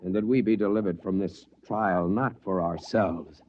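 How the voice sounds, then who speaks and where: A man's voice: low voice, normal speed, lightly acted. An actor playing a devout, steadfast man of faith in a 1950s radio drama, speaking earnestly and formally. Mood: prayerful. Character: devout, steadfast male believer aboard a tiny ship. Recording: muffled, noisy old radio recording.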